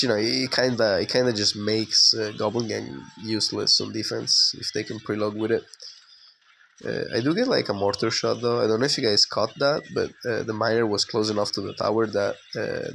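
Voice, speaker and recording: A young man with a hoarse voice from being sick, giving casual, relaxed commentary over his own gameplay with lots of fillers. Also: on the low side, slight background noise. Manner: relaxed, conversational with frequent fillers.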